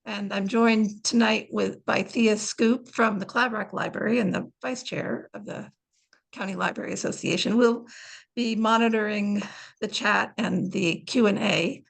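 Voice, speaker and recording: A woman's voice: mid-range voice, fairly even intonation, a little slow, vocal fry, low-quality recording. A woman with an American accent, a library director hosting a webinar and introducing the speaker, casual with frequent ums.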